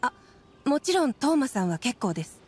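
A woman's voice: high voice, big pitch swings, fairly quick, husky, full character voice. An actress voicing a polite, businesslike woman checkpoint inspector in an anime game, speaking formally and courteously. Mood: polite, inquiring. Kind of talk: anime video game voice acting.

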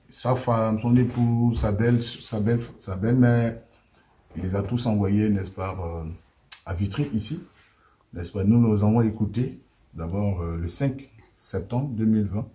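Male prophet figure, unhurried pastoral delivery, dark warm timbre, small meeting room. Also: on the low side. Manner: slow, measured, with repeated "n'est-ce pas". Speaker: prophet leading a deliverance ministry. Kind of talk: pastoral testimony interview.

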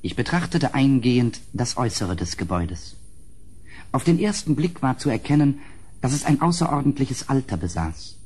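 A grave gentleman narrator in a gothic radio play. His voice is husky and of medium pitch. He speaks in solemn, measured, literary sentences, as one haunted by what he describes. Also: big pitch swings. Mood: grave, troubled. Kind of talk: dramatic gothic audio drama narration.

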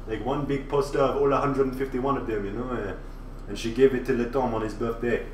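A man's voice: medium pitch, fairly even intonation, fairly quick, slight background noise, lightly acted. A man putting on a crude, cocky French chef. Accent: put-on French. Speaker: livestreamer. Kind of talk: casual livestream chat in character. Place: kitchen. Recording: roomy, distant mic, faint ambience.

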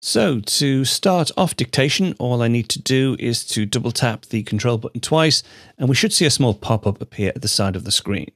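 A man hosting a computer tutorial, explaining steps in a measured, steady way on a studio microphone. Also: mid-range voice, no echo. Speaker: tutorial host. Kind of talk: screencast software tutorial narration.

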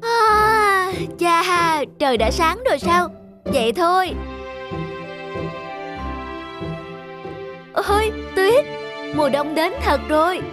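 A woman's voice: very high-pitched, lively intonation, measured pace. A woman voicing an eager, cheerful little boy bear cub in a cartoon. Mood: excited. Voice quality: bright childlike. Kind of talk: cartoon voice acting. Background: music.

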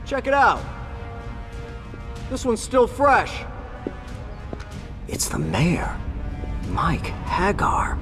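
Animated, cocky photojournalist. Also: male voice, high voice, drawn-out words, long pauses, big-room sound, over music, heavily acted. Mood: alert. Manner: brash, self-assured. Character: cocky, eager male investigative photojournalist. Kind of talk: video game cutscene acting.

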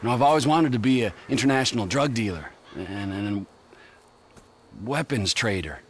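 A man's voice: mid-range voice, expressive, normal speed, a little hiss or hum, lightly acted. An actor playing a volatile, violent middle-aged criminal in a video game cutscene, swearing and switching between pleading and screaming rage.

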